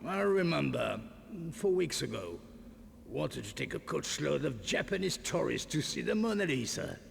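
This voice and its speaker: An actor playing a shady male underworld fixer in a video game, with a deep gravelly voice and a guarded, curt, menacing manner. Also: sing-song, normal speed, heavily acted. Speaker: character in a video game cutscene. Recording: game audio with long reverb and noise.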